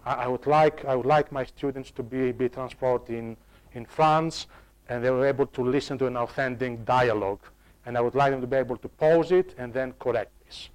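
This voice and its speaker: Guy presenting a workshop, middle pitch, stop-start explaining, keeps asking the room questions. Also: a little mellow. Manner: halting, restarts and repeats words while explaining; addresses the audience directly with questions. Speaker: presenter on online course design. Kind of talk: live workshop talk to staff.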